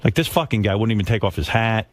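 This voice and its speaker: A male talk radio host with an animated voice that swings up and down. He banters bluntly and crudely, teasing whoever he talks to. There is faint noise and clicking behind him. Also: on the low side, fast talker.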